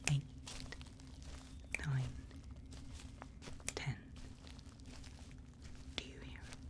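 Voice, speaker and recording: A woman playing a calm, reassuring monster-hunting guide in a whispered ASMR roleplay, speaking in a hushed, patient, breathy way. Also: low voice, noisy background, full character voice. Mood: patient, coaxing. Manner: hushed, patient, instructive, soothing.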